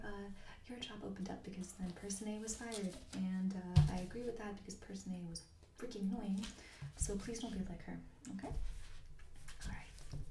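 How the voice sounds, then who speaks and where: A woman playing a grumpy, blunt HR employee in a soft-spoken ASMR roleplay, deadpan and offhand, with hesitant, grumbling phrasing. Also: mid-range voice, reverberant, far from the mic, close to an everyday voice. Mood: grumbling, gossipy. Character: grumpy, blunt female HR employee doing new-hire paperwork.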